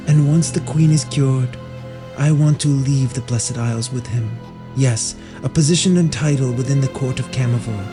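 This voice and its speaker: A man playing a scheming warden in a fantasy audio drama, speaking in a formal, measured, conspiratorial way. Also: medium pitch, close to an everyday voice. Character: scheming male warden offering secret aid for reward.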